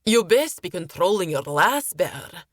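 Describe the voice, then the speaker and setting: A woman voicing a drunken, leering male sailor with a gruff, menacing manner. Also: on the low side, very animated, normal speed, no room sound, studio quality. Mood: crude, menacing.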